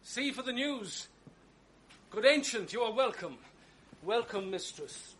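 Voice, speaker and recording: A man's voice: extremely high pitch, expressive, takes it slowly, a bit bright, exaggerated voice. An actor playing a gallant young male lieutenant in a 1980s radio drama, declaiming in a courtly, elevated way.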